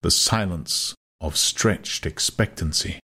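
Slow, low-pitched male dramatic narrator. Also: gravelly, pushed and bright, slightly rough. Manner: measured, dramatic. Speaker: audiobook narrator.

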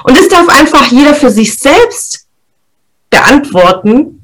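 A woman's voice: high voice, takes it slowly. A woman, hosting a podcast and giving a motivational talk on setting goals, explaining step by step and checking in with her listeners. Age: 30s. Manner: lively, explains step by step with frequent "ja?" check-ins. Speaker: podcast host.

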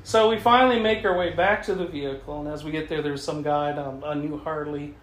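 A man from Canada telling a casual, humorous travel story to a writers' group, animated and chuckling often as he acts out the dialogue. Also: a little high, fairly quick. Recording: echoey room with faint noise.

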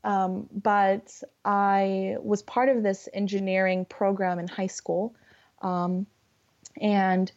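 A young woman with a Californian accent, a guest chatting casually, friendly and unhurried, with many fillers. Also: medium pitch, darkish tone. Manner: friendly, fillers and laughs, deliberate. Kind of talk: casual conversation. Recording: dull lo-fi sound.

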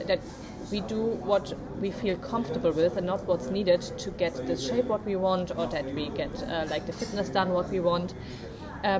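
A woman co-hosting a casual motivational podcast, speaking in halting English with non-native phrasing and many fillers. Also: fairly low pitch. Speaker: podcast co-host.

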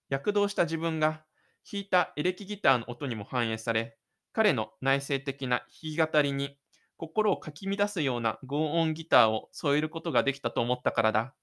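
Slightly high-voiced man narrating a story. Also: a bit bright. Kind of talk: scripted literary audio drama narration.

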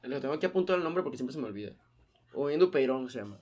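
Fast-talking, slangy young man. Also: medium pitch. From Mexico. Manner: slangy, animated, fast storytelling. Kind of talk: casual chat.

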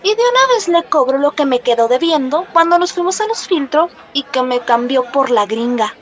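An actress playing a brisk, demanding café hostess in a radio drama. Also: female voice, high-pitched, animated. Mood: pointed. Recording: dull, muffled sound.

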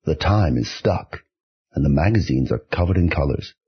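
A man presenting a scripted poetry podcast and reading verse in a measured, deliberate way. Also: a bass voice, expressive, slightly rough. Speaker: podcast host and poetry reader. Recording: slightly dull, music behind.

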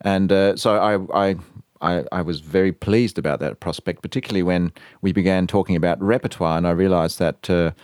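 A man in his fifties with an Australian accent, speaking as a guest in a radio interview, thoughtful and measured, with many hesitations. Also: low-pitched, steady tone, a little mellow, dry recording, clean studio sound. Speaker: guest, violinist and conductor.

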